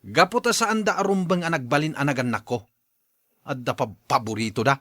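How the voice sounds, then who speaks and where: A man's voice: medium pitch, lively intonation, a little fast, sharp tone, no echo, clean studio sound. A man playing a hard-headed, resentful husband and son in an Ilocano radio drama, with a combative, sneering manner. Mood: bitter.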